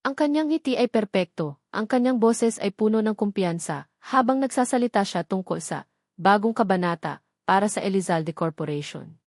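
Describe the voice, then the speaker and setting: A woman narrates a dramatic tale in a scripted storytelling style. Her voice sits at a middle pitch, and the sound is dry, with very little room around it. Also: animated, moderate pace, darkish tone, no room sound.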